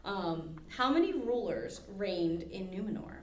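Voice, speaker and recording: Woman, podcast host, chatty and casual with lots of ums, mid pitch, roomy echo. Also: sing-song, measured pace, recorded from a distance. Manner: chatty, many fillers and restarts. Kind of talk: casual chat with trivia quiz.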